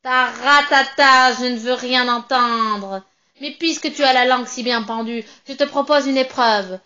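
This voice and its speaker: A woman voicing a small, nasty old witch with a shrill, sour voice and a sneering, mocking manner. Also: measured pace, sharp tone, lo-fi sound. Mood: bossy. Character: nasty old female witch in a broom cupboard.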